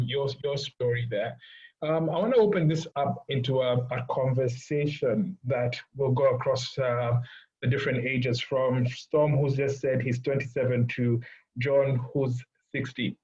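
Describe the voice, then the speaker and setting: A man's voice: mid-range voice, fairly even intonation, normal speed, a little mellow, muffled recording. A man moderating an online panel discussion, speaking loosely with fillers and self-corrections.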